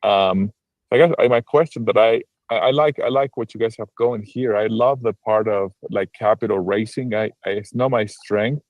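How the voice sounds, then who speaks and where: A lowish-voiced man from Argentina, speaking on a video call in a dry, close sound. He talks about his work as a broker in a halting way, full of restarts and fillers. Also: a little mellow, no echo. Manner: hesitant, many restarts and fillers. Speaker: real estate broker and investor. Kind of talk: casual livestream call-in chat.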